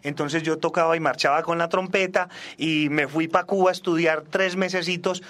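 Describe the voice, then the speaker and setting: Higher-voiced man, native English speaker, forties. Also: steady tone, normal speed, fairly bright tone. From Australia. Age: 40s. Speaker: salsa singer and trombonist, guest. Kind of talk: casual radio interview.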